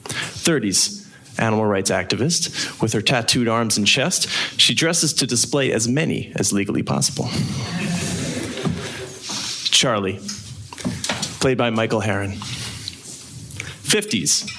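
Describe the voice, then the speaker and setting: A man's voice: lowish voice, expressive, a little slow, long pauses. A man reading scripted narration for a radio play live on stage. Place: theatre stage before an audience. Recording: live stage recording, clear background noise.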